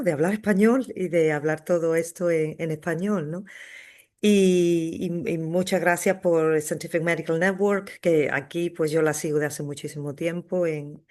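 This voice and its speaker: Casting: woman, researcher presenting online, halting and conversational, video-call sound. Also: low voice, darkish tone. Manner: hesitant, many fillers and restarts. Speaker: psychotherapist and researcher giving an online talk. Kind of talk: academic talk, conversational.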